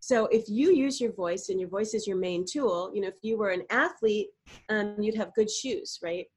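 A middle-aged woman, a voice coach, giving animated, explanatory answers in a podcast interview and sometimes demonstrating vocal exercises. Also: mid-range voice, moderate pace, slightly rough.

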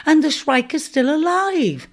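Storybook mouse messenger, male, tiny and excitable, played by a woman narrator. High, quick chatter. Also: full character voice. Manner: chattering, shrill and breathless.

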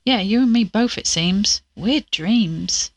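A woman's voice: medium pitch, slow pace, no room sound. A woman acting as a wry, impatient young investigator in an audio drama, blunt and teasing with her friends. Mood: casual, teasing.

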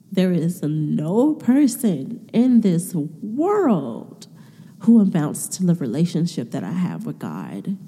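Slow, stuffy-nosed woman podcaster. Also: fairly low pitch, warm tone, husky, long reverb tail. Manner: rambling, many filler words. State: stuffy nose, sniffling. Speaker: podcast host. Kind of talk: casual faith talk monologue. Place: her room.

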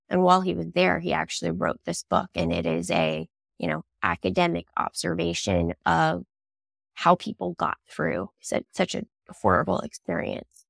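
A woman's voice: on the low side, vocal fry, no room sound, clean studio sound. A woman in her thirties, getting over a cold, answering interview questions in a thoughtful, earnest way. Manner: thoughtful, earnest, with small restarts. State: scratchy voice from a cold. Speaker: guest, clean energy startup leader.

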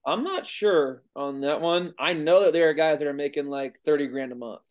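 A man's voice: on the high side, moderate pace, clear, resonant tone. A man in his twenties, a baseball player, talking casually as a podcast guest, loose and rambling, often saying "like". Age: 20s. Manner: relaxed, rambling, heavy use of "like".

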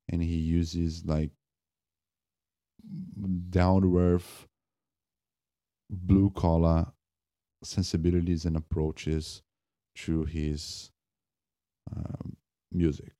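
Low, dark-voiced man musing. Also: dry recording, clean studio sound. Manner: halting, many restarts and fillers. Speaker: podcast host. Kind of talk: casual spoken music review.

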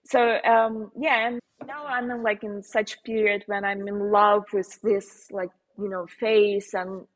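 A woman painter with a Slovenian accent, speaking as a guest in a casual interview, pausing often with fillers and restarts. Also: medium pitch, steady tone, low-quality recording. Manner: halting, many fillers and restarts, laughs often.

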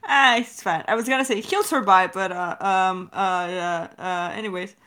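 A woman's voice: medium pitch, moderate pace, sharp tone. A woman chatting casually as a podcast co-host, playful and slangy, often repeating her own phrases. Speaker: podcast co-host, audio drama creator. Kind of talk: casual chat, answering listener questions.

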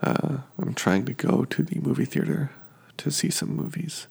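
A man with allergies, sounding sniffly, who hosts a sleep podcast and describes his surroundings in a slow, meandering, halting way full of fillers. He is recording at home in his kitchen. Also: mid-range voice, expressive, gravelly, a little mellow, slightly rough.

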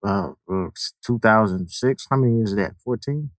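A man from Connecticut in a relaxed group conversation, talking loosely and repeating words. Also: on the low side, moderate pace, no room sound. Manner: laid-back, repeats words, lots of "you know what I mean". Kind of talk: casual chat.

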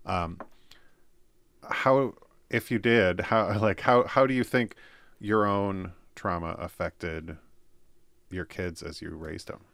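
Man, podcast host, deep voice, laid-back, laughs a lot, rambling questions, dry sound. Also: takes it slowly, long pauses, fairly bright tone, dry recording. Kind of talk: casual interview.

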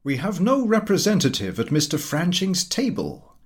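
A man's voice: high voice, wildly swooping pitch, moderate pace, voice pushed up. A man voicing a pompous, opinionated American guest who lectures a dinner table in grand declamatory style, in a storybook reading. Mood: disdainful. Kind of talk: storybook character dialogue.

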